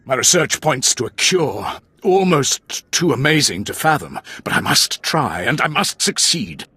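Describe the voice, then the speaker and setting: An actor playing a dying, haunted Sith lord in a game, raspy, grave and theatrical in a dramatic monologue. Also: male voice, mid-range voice, big pitch swings, measured pace, bright, forceful tone, no room sound, heavily acted. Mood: desperate resolve.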